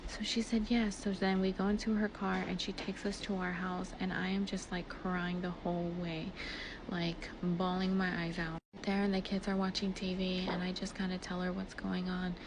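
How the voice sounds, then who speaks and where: Gravelly-voiced woman, casual, over background noise. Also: fairly low pitch, flat delivery, moderate pace. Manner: filler-heavy, conversational, quotes dialogue as she retells. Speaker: speaker recounting personal events. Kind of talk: casual personal storytelling, like a voice message. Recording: noisy ambient background, amateur voice clip.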